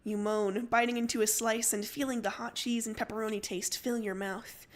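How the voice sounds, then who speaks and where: Woman narrating fiction, slightly high voice. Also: little pitch movement, moderate pace. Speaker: story narrator. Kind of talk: expressive fiction reading on a livestream.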